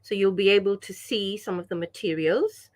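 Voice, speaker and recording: A woman's voice: medium pitch, normal speed, a little mellow. A woman from Pakistan leading an online language workshop over a video call, enthusiastic and chatty, often checking in with the group. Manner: enthusiastic, chatty, frequent "yeah?" check-ins. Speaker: workshop leader.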